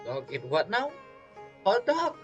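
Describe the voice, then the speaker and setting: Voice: mid-range voice, wildly swooping pitch, measured pace, dull audio. A streamer giving casual, joking commentary over a game playthrough, full of teasing asides. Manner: teasing, joking asides, non-native phrasing. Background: video game music.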